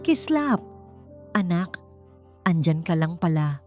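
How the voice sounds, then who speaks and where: A woman's voice: lowish voice, very animated, slow, dark tone. A woman with a Filipino accent voicing a tired, worried, polite father in an audiobook story. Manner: courteous, weary. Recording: music bed under the voice.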